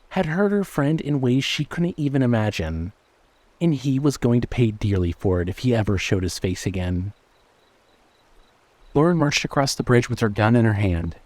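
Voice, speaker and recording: A man narrating a scripted horror story in a measured, suspenseful way. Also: medium pitch, a little mellow.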